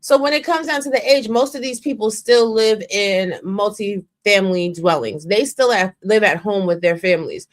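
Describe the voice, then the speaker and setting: A woman's voice: medium pitch, normal speed, few pauses. A woman making her points with emphasis, drawing out her phrases and lecturing in a casual discussion.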